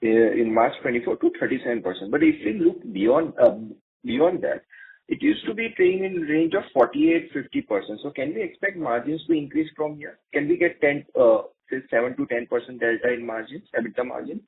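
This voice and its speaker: A man with an Indian accent, an analyst putting questions in a halting way on an earnings call. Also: mid-range voice, lively intonation, breathy edge. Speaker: analyst caller. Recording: muffled, dull conference phone line.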